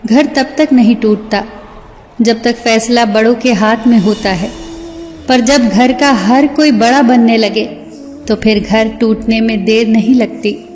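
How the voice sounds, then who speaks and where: A woman narrator reciting scripted motivational sayings in a measured, deliberate way. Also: fairly high pitch, steady tone, a little mellow, slight background noise. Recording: long hall reverb, music bed. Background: music.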